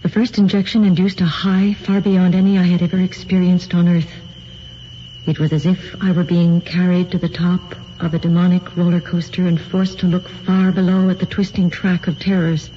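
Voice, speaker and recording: An actress playing a composed woman in a radio drama, reading in a measured, solemn manner. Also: fairly low pitch, natural voice. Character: composed woman reading a grim account aloud. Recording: dull lo-fi radio recording, faint noise.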